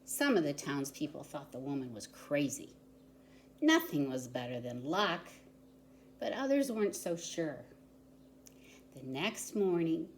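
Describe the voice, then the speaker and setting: A woman reading a picture book aloud to young children in an expressive, deliberate storytelling manner. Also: on the low side, gravelly, a little hiss or hum. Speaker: volunteer story reader.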